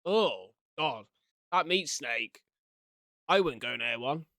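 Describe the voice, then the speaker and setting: Guy doing casual reaction commentary, bright forward voice, dry close sound, kinda incredulous. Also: mid-range voice, animated, slow pace, gravelly, dry recording. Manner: informal, interjecting, incredulous, swearing. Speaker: reaction video host.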